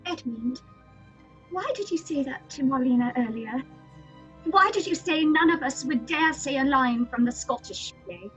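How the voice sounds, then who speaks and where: A woman's voice: high-pitched, lively intonation, moderate pace, a bit bright, dull audio, faint music behind. A woman playing an anxious, inquisitive troupe actress in an audio horror drama, theatrical and questioning. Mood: puzzled, probing.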